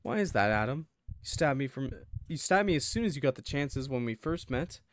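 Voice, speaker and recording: A man's voice: mid-range voice, big pitch swings, fairly quick, no room sound, close to an everyday voice. A man playing a defiant captive in a visual novel, taunting an attacker with sarcasm.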